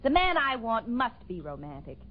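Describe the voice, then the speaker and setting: A woman's voice: fairly high pitch, big pitch swings, normal speed. A woman playing a haughty, romantic young woman being courted, in lofty 1940s radio comedy style. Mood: dreamy. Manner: theatrical, lofty. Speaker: actress in comedy sketch. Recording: muffled old radio with faint noise.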